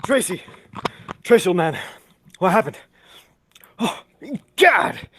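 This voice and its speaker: A woman playing a dramatic, old-fashioned male circus gentleman in a 1940s style radio play. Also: on the low side, sing-song, slow, background noise. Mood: shocked.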